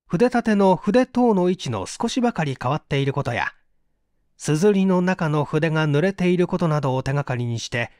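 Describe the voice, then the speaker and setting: A man reading a literary mystery story aloud as an audiobook narrator, with theatrical, expressive delivery. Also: fairly high pitch, no echo, studio quality. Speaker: audiobook reader.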